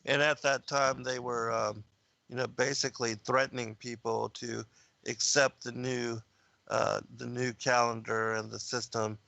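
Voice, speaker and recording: Male guest, gravelly and tense-toned, hesitant, lots of fillers. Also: medium pitch, little pitch movement, sharp tone, no room sound. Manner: halting, many fillers, lists dates. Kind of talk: casual discussion of biblical calendar.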